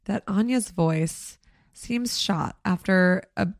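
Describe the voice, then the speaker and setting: Woman, podcast co-host, slightly hoarse, casual, swears often, dry close mic. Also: medium pitch, slow, no echo. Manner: chatty, swears freely, lots of likes and ums. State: a little hoarse. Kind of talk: casual chat.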